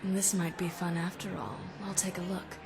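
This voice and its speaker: A woman's voice: fairly low pitch, flat delivery, moderate pace, close to an everyday voice. An actress voicing a cool, dry-humored half-vampire woman in a game cutscene. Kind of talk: video game cutscene acting. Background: background music.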